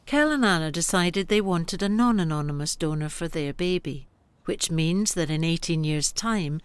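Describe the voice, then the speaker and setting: A woman's voice: on the low side, animated, moderate pace, smooth, no echo. A woman reading scripted narration as a documentary narrator. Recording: music under the voice. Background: music.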